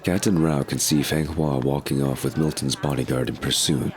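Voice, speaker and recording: Extremely deep-voiced male narrator. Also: fairly even intonation, normal speed. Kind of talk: scripted story narration. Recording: noisy urban ambience behind.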